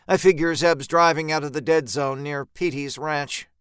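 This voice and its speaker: Clear, higher-pitched male horror narrator. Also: steady tone, normal speed, fairly bright tone, dry recording, studio quality. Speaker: story narrator. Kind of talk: dramatic first-person horror audiobook narration. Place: close, dry recording space.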